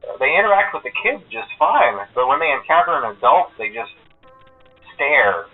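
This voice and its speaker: An actor playing a nervous, evasive restaurant employee in a video game, hesitant and stammering through a telephone filter. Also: male voice, on the high side, big pitch swings, moderate pace, soft background music. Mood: uneasy, downplaying. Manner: hesitant, stammering, full of uhs. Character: nervous, evasive male restaurant employee leaving phone messages. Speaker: phone caller in a horror video game. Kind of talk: video game voice acting as a recorded phone message. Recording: tinny, band-limited phone line.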